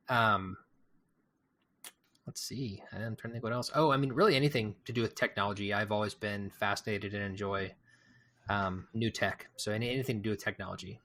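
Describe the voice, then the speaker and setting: A man's voice: lowish voice, pushed and bright. A man from Kansas hosting a book podcast, leading the questions in an upbeat, casual way. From Kansas, USA. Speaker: podcast host. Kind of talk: casual chat.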